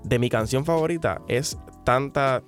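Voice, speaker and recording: A man's voice: mid-range voice, moderate pace. A man from Puerto Rico with a Puerto Rican accent, co-hosting a casual music chat and often stumbling and restarting his sentences. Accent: Puerto Rican Spanish. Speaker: podcast co-host.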